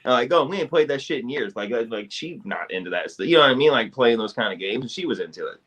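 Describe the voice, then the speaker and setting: A man co-hosting a casual podcast, talking in an animated way and swearing freely. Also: medium pitch, quick pace. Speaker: podcast co-host. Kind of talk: casual chat.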